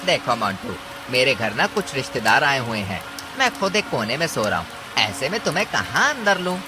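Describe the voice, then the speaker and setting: A man's voice: medium pitch, very animated, normal speed, lightly acted. A man voicing a grumpy, sleepy male bird neighbour in a cartoon story, grumbling and reluctant. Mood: reluctant, evasive. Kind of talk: Hindi cartoon story dialogue. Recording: clear rain and ambience noise.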